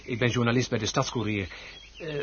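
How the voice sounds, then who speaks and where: A man's voice: medium pitch, fairly quick, close to an everyday voice. An actor playing a formal, polite and slightly hesitant male reporter in a radio drama. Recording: dull, noisy old radio recording.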